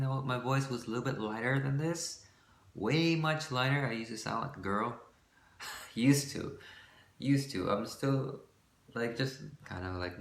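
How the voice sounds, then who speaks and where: Shy young man with a light voice. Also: fairly bright tone. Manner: shy, rambling, full of fillers and self-corrections; shifts between a light voice and a forced deeper one. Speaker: vlogger. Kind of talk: casual talk to camera about his voice. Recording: echoey room.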